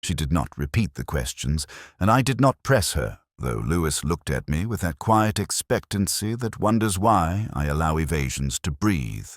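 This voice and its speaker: A man narrating a scripted first-person detective mystery in a measured, formal, literary manner. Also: low voice, sing-song, no echo, clean studio sound.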